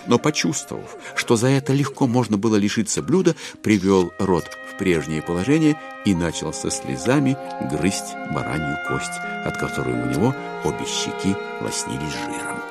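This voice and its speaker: An actor as the narrator of an audio drama, telling the story in a wry, deliberate literary manner. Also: male voice, low voice, sing-song. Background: music.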